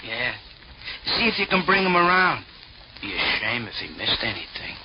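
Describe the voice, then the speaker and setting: An actor playing a menacing, mockingly sweet gangster boss in theatrical 1940s radio crime drama style. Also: male voice, medium pitch, very animated, normal speed, lightly acted. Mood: mock sweet. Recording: noisy, dull vintage radio recording.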